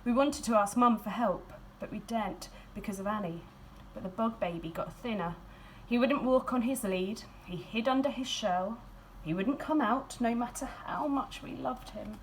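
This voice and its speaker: A woman reads a children's storybook aloud expressively, in a measured storytelling manner with dramatic emphasis and hushed phrases. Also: a little high, slight background noise, low-quality recording. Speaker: school staff member reading a picture book aloud.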